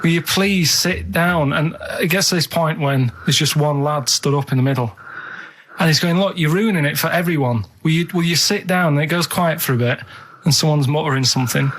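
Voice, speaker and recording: A man with a slightly high voice, co-hosting casual radio chat. He rambles through stories in a matter-of-fact way, checking in with little tags as he goes. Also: a little fast, a bit bright, rough recording. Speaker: radio show co-host.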